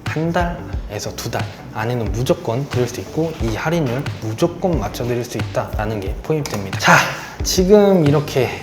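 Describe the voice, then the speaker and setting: A man who sells cars delivers a courteous, persuasive pitch, keeping his voice low because his throat is sore. His speech bounces around a roomy space. A music bed runs clearly underneath. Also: lively intonation, moderate pace, few pauses. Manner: polite, persuasive salesman's patter. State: sore throat, speaking lower than usual. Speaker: car dealer. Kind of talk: sales pitch explaining monthly car promotions. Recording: roomy echo with background music.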